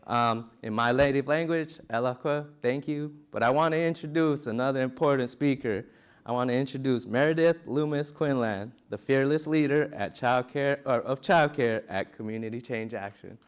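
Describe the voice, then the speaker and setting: A man's voice: medium pitch, moderate pace, clear, resonant tone. Earnest man rallying a convention crowd. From New Mexico. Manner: earnest, rousing oratory. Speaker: convention speaker. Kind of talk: speech to a conference crowd. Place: large ballroom.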